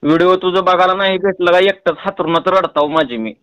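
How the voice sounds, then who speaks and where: A man's voice: high-pitched, fairly even intonation, a little fast, a bit bright, clean tone, no room sound. A man with a rural Marathi accent making a prank phone call, teasing and coaxing in a playful, pestering way. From Tuljapur, Maharashtra, India. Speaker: prank caller. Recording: close, dry phone call recording.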